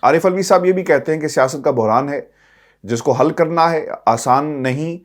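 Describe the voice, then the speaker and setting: A man's voice: on the high side, moderate pace. A man with a Pakistani accent who gives news commentary to his viewers as a political vlogger, speaking emphatically with rhetorical questions and repeated phrases.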